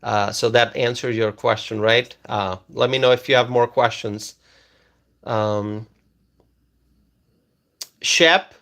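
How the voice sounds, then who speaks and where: Male livestream host from Bangladesh, slow, halting delivery, reads chat comments aloud. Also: mid-range voice, sharp tone. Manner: slow, halting, many ums and uhs.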